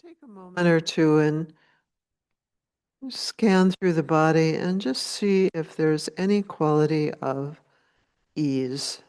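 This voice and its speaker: A woman meditation teacher guides listeners at a notably slow pace. Her delivery is gentle and unhurried, with short phrases set apart by long pauses. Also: sits low, clear, resonant tone.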